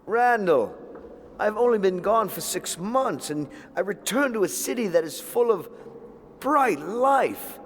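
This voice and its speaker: A man's voice: high-pitched, lively intonation, measured pace, in a large hall. An actor playing a hearty male officer back from the border in a dramatic audio drama. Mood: amazed.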